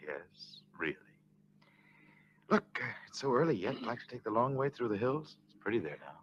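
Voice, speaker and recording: A man's voice: mid-range voice, sing-song, normal speed, muffled recording, slight background noise, natural voice. An actor playing a middle-aged killer who poses as a friendly insurance man, coaxing and insinuating, in vintage radio thriller style. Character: smooth, menacing forty-ish male strangler posing as friendly insurance man. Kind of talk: 1940s-50s radio thriller acting.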